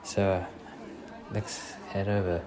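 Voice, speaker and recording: A man acts out a gentle young boyfriend in an ASMR roleplay, with a low voice that barely rises or falls. He speaks softly and haltingly to reassure his date, over the murmur of a crowd. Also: little pitch movement. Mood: relaxed, cheerful. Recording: crowd ambience and noise behind voice.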